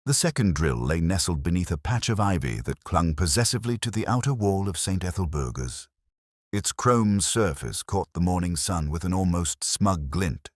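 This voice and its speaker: A man's voice: low-pitched, no echo, clean studio sound. A man reading a scripted detective mystery as a narrator, in storytelling audiobook style.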